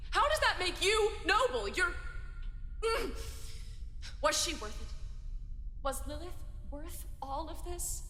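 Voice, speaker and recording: A woman's voice: high-pitched, sharp tone. A woman playing a defiant, accusing young patient in a horror audio drama, confrontational and emphatic. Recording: echoey reverberant room, faint noise.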